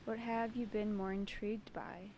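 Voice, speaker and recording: A woman leading a guided meditation as its host, giving soothing, deliberate instructions in short phrases. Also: mid-range voice, level tone, mellow, slight background noise.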